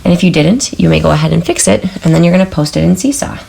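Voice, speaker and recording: A woman teacher talks through a recorded math lesson, her voice hoarse from a sore throat. Her low, heavily gravelly tone stays patient as she keeps checking in with students. Faint noise hums under the recording. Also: lively intonation, fast talker. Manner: patient, checks in with frequent "okay?".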